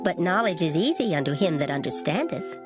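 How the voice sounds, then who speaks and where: A woman giving a dramatized reading of scripture in formal old English, measured and solemn. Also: on the low side, sing-song. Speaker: scripture reader. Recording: loud music behind the voice. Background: music.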